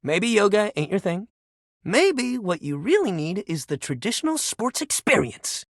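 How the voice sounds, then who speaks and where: Peppy, high-pitched gym buddy. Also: male voice, very animated, normal speed, a bit bright, dry recording, clean studio sound, heavily acted. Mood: easygoing. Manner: peppy, encouraging, casual bro slang. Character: upbeat, supportive male gym-buddy fitness coach. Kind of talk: video game character voice lines.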